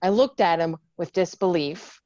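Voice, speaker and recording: A woman's voice: lowish voice, moderate pace, darkish tone, dry recording, highs cut off, close to an everyday voice. A woman reading the part of a tough, guarded young female Marine lieutenant in a screenplay table read, with blunt, sardonic delivery. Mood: frustrated, disbelieving. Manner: blunt, swearing, defiant.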